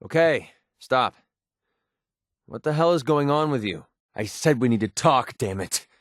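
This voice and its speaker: A guarded young male mercenary from a video game, acted by a man, with a mid-pitched voice in a very dry recording. He is sarcastic and quick to bristle, yet loyal underneath. His delivery is naturalistic and plain-spoken, with an earnest, wounded edge. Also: lively intonation, dry recording, lightly acted. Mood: exasperated, confrontational. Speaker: companion character in a video game.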